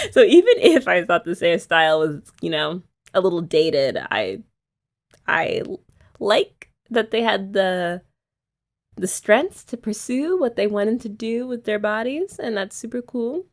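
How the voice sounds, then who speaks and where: A young woman doing girlfriend roleplay ASMR, chatty and teasing with rambling asides. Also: mid-range voice. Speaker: ASMR creator.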